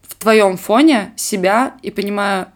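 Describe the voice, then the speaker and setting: A woman's voice: medium pitch, measured pace. A young woman from Crimea with a southern Russian accent, co-hosting a podcast in casual chat. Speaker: podcast co-host.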